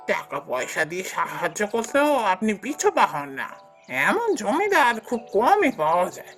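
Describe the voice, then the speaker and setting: Woman voicing eager servant man, wildly swooping pitch. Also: fairly bright tone. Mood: admiring, grateful. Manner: fawning, eager, exclamatory. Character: devoted, deferential male servant of a landlord. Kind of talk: cartoon fairy tale dialogue.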